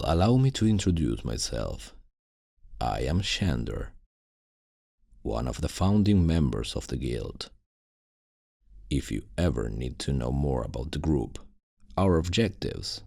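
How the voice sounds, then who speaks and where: A man voicing an old, grey founder of a fantasy thieves' guild, calm and commanding, with a gravelly, husky voice and a measured, formal, fatherly manner in an ASMR roleplay. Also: very deep voice, no echo, studio quality, pitched below the performer's own voice, exaggerated voice. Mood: calm, welcoming.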